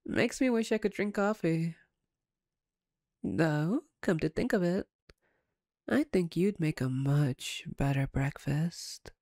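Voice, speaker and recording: A woman playing a playful, smug, flirtatious female vampire captor in an ASMR roleplay, with a teasing, wry, unhurried manner. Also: low voice, animated, dark tone, dry recording, clean studio sound, full character voice. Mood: sardonic.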